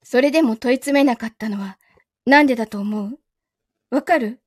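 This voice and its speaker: A woman's voice: on the high side, normal speed, dry recording, clean studio sound, lightly acted. A woman playing a betrayed, possessive young lover in a live audio drama, with a Kansai Japanese accent and a controlled, coldly teasing manner. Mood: bitter, possessive.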